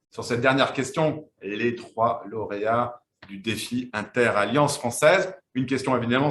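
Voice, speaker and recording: A man hosting a live quiz, lively and chatty, slowing down to build suspense. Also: medium pitch, roomy sound. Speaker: quiz show host.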